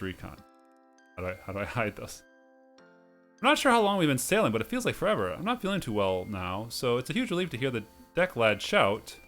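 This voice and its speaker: A man's voice: on the low side, fairly bright tone. Man with game music behind him. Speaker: host of a video game playthrough series. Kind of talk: let's play commentary and reading game text aloud.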